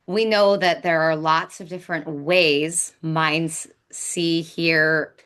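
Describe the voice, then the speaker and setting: Bright, forceful woman hosting casually. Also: sits low, fairly even intonation, slow pace, clear, resonant tone. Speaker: podcast host, interviewer. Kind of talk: casual conversational interview.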